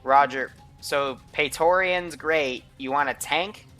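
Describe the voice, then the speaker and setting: Male game commander, blunt and sardonic, sharp bright delivery, mission briefing over voice chat, light music underneath. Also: on the high side, slow pace.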